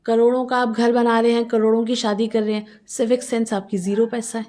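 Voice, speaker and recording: Female interviewee, fairly high voice, argues a civic complaint emphatically with pointed rhetorical questions. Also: level tone, a little fast, dark tone. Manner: emphatic, argumentative, rhetorical questions, mixes in English phrases. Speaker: local resident interviewed about a civic complaint. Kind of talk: street interview, airing a complaint.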